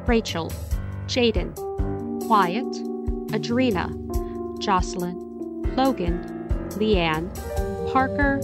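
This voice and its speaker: A young woman reads the closing credits of a school radio show, brisk and upbeat. Music plays clearly behind her. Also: mid-range voice. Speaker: announcer. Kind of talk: reading end credits.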